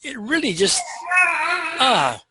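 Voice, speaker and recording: Soft-spoken older man guiding a session. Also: sits high, huge pitch swings, slow, heavy background noise. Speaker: EFT practitioner hosting a session. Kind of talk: calm therapeutic interview.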